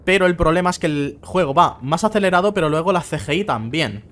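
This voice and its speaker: A man's voice: on the high side, animated, fast talker, a bit bright. A man casually commenting on a game he is playing, sometimes reading game lines aloud in voices. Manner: chatty, reads game text aloud in voices. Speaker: let's play host. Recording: faint game music behind.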